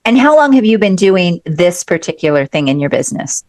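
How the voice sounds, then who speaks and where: A woman hosting a podcast interview who asks questions and keeps up a steady stream of affirming "mm-hmm"s. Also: on the low side, a little fast, no echo. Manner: frequent affirming backchannels. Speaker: podcast host.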